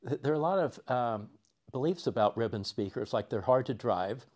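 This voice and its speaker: A man's voice: medium pitch, animated, brisk, a little mellow. An older man, conducting a technical interview as a reviewer in a listening room. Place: carpeted home listening room, indoors. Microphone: clip-on lapel mic.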